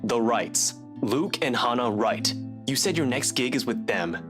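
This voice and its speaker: Guy playing serious guarded investigator type from a visual novel, terse, medium pitch, music behind him. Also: animated, measured pace, natural voice. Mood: guarded warning. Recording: game audio over guitar music.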